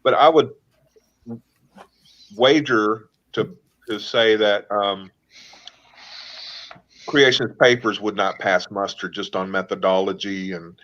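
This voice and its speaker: A man with a lowish voice lays out his thinking in a questioning, thoughtful way. His audio sounds dull and lo-fi. He talks casually, as in a group chat. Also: steady tone, slow, long pauses, fairly bright tone.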